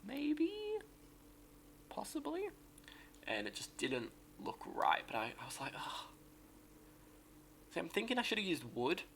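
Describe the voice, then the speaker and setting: A man with a sore, raspy voice giving casual, rambling commentary on a game build and correcting himself as he goes. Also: on the high side, sharp tone, slight background noise. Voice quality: raspy, gravelly. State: sore, hoarse voice. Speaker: game streamer.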